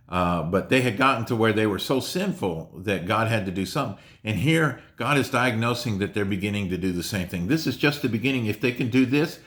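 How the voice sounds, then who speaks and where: A man preaching a conversational sermon, rambling and restarting, his pitch rising when he stresses a point. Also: a bit bright. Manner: rambling, repeats words and restarts, rises in pitch for emphasis. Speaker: pastor.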